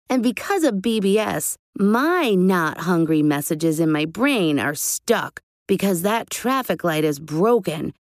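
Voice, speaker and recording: A woman's voice: high-pitched, warm tone, dry recording, clean studio sound, voice pushed up. A woman voicing a young boy in a storybook, hungry and easily upset, speaking in an emotional, pleading way.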